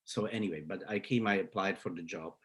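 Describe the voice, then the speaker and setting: A man's voice: low voice, fairly quick, a bit bright, slightly rough. A man in his fifties from Slovakia with a Slovak accent, answering interview questions hesitantly with many fillers and restarts. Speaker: psychology professor, guest.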